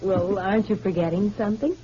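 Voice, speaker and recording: An actress playing an affectionate, mock-scolding wife in a 1930s radio soap opera, with a teasing, inquisitive manner. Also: female voice, medium pitch, steady tone, fast, mellow, natural voice. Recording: muffled, noisy 1930s radio broadcast with hum.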